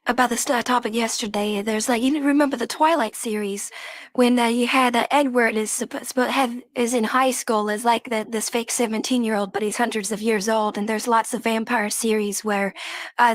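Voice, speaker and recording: A woman guest in an online discussion, speaking haltingly with frequent hesitations. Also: fairly high pitch, fairly bright tone, dry recording. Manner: halting, many fillers and restarts. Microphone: video call.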